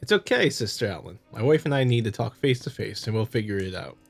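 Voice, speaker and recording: A man's voice: lowish voice, lively intonation, fast, creaky, breathy edge, full character voice. A man voicing a placating young husband in a visual novel, soothing and coaxing his wife in an amateur dramatic style. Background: music.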